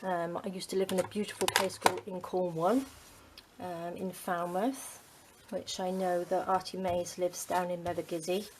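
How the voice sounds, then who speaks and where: A middle-aged woman from the UK, chatting casually to her craft channel, rambling with many ums and dropping to a soft near-whisper as she works. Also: fairly low pitch, little pitch movement, normal speed, very noisy. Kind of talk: casual chat while crafting.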